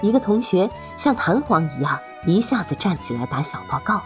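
Woman narrator, lower pitch, animated delivery, dramatic scripted storytelling, faint background music. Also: brisk. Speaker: host and narrator.

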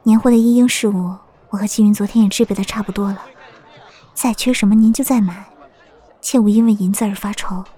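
A woman playing a gentle, considerate young wife and devoted daughter in a Chinese costume audio drama, speaking softly. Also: mid-range voice, steady tone, fairly quick, husky, lightly acted. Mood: caring, reassuring.

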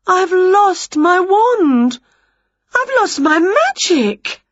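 A woman voicing an excitable, scatterbrained witch in a theatrical, exclamatory way for a children's storybook. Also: medium pitch, normal speed, slightly rough, dry recording, dull audio. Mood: distressed wailing. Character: excitable, scatterbrained female witch, dramatic and cheery.

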